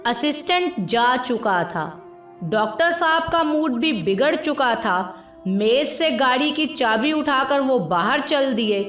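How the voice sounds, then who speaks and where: A woman narrates a scripted moral tale at a slow pace. Her voice sits fairly high. It rings with a roomy echo over faint music. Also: animated, a bit bright. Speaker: story narrator. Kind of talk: scripted moral story narration with acted dialogue.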